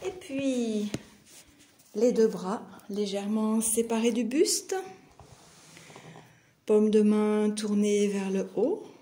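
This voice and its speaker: A woman teaching yoga nidra, guiding a meditation in short, soothing phrases with long pauses. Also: medium pitch, warm tone. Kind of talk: guided meditation.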